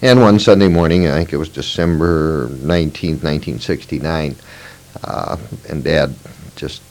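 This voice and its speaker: A man's voice: rumbling low, moderate pace, darkish tone, low-quality recording. A man in his fifties from Minnesota, recalling his past in an oral history interview, meandering with fillers and self-corrections. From Moorhead, Minnesota. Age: 50s.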